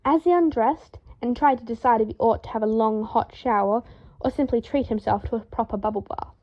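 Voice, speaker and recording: A woman with a fairly high voice narrates a story. Her reading is expressive and scripted, in audiobook style. The recording sounds slightly dull. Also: fairly quick.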